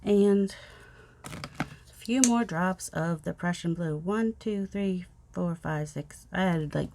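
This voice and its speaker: A woman's voice: lowish voice, slow pace, gravelly, dark tone, a little hiss or hum. Chatty woman with a childlike voice. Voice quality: youthful, childlike voice. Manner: chatty, rambling, self-teasing asides. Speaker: mixed media artist. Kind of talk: casual crafting vlog narration. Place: home craft table. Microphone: overhead camera, hands only.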